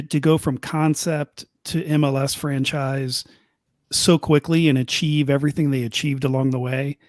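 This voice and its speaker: A man's voice: mid-range voice, a little mellow, no room sound, clean studio sound. A man co-hosting a sports podcast who talks emphatically and repeats himself for stress. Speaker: podcast co-host. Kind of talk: casual sports podcast chat.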